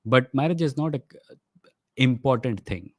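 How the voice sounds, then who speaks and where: A young man from India with an Indian accent and Odia as his first language, hosting a livestream Q&A. He answers viewer questions in a quick, conversational way and often switches into Hindi mid-sentence. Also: medium pitch, lively intonation, a little mellow, no echo, studio quality. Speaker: host of a live stream.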